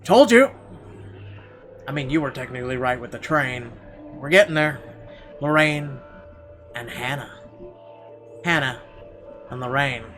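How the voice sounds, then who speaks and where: A man's voice: mid-range voice, measured pace, long pauses, fairly bright tone. A man hosting a gaming video, reading visual novel narration dramatically and breaking into irreverent, sweary asides. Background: game music.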